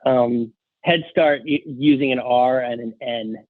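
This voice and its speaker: A man's voice: medium pitch, unhurried, dry recording, phone-quality sound. A man speaking casually as a writer guest on a podcast, often interrupting himself and joking.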